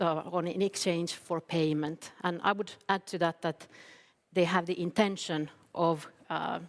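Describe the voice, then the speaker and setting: A woman from Finland giving a conference talk, hesitant, with many fillers and restarts. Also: fairly low pitch. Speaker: keynote speaker. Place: event hall. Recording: long hall reverb.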